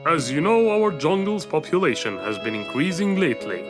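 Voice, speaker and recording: A man's voice: a little high, big pitch swings, normal speed. A man playing a genial, courteous lion king in a children's cartoon, regal and friendly. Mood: concerned, gracious. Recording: music behind the voice.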